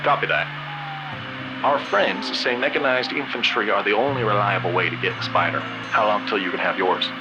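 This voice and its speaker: An actor playing a calm, authoritative fleet commander in a sci-fi audio drama, giving brisk orders and pointed questions. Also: male voice, on the low side, music in the background, close to an everyday voice, low-quality recording. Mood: commanding, urgent.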